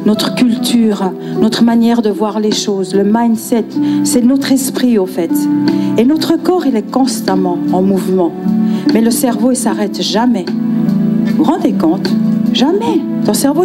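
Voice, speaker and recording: A woman from Switzerland giving a passionate, emphatic sermon, repeating words for effect. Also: fairly high pitch. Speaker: guest preacher. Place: church conference hall. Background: guitar and piano music.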